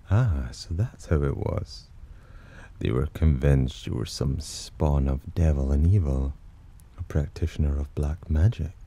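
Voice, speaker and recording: Casting: male actor as condescending demon for ASMR roleplay, extremely low and husky, menacing yet teasing. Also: heavily acted. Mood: curious, condescending. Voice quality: very deep, dark, husky. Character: haughty, teasing male demon, disdainful of humans, possessively protective. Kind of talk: ASMR roleplay, intimate scripted monologue.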